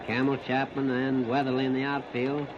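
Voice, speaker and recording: A man's voice: medium pitch, monotone, moderate pace. An American man calls live baseball play-by-play on the radio in short, plain-spoken, folksy phrases. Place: outdoor ballpark. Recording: noisy, muffled 1930s radio broadcast recording.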